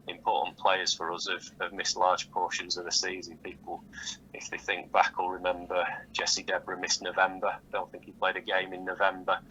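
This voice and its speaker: A deep-voiced man with a very hard, bright edge and little melody speaks as a football manager on a phone interview. He answers in measured, halting phrases, repeating words as he works through them. The line is slightly dull. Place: phone call. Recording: dull, lightly noisy phone call audio.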